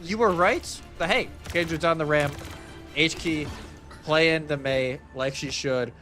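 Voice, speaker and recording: A man's voice: a little high, slow, bright, forceful tone, faint music behind. A man doing live esports commentary in a casual, colloquial way, sometimes voicing imagined reactions as he explains.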